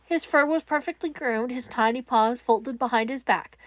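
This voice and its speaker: A woman narrating scripted fan fiction in a solemn, measured way with dramatic pauses. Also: fairly high pitch, dull audio.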